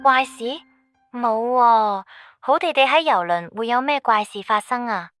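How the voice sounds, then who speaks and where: A woman's voice: fairly high pitch, unhurried, fairly bright tone, faint music behind. A woman with a Hong Kong Cantonese accent playing a breezy, sceptical younger cousin in casual story dialogue. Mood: puzzled, dismissive. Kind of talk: scripted drama dialogue.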